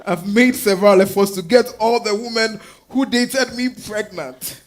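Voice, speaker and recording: A man playing a guilty, pleading husband in a stage drama reading, halting and emotional. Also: sits high. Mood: ashamed. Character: guilty, pleading infertile husband confessing his lies. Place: live stage at a literary festival.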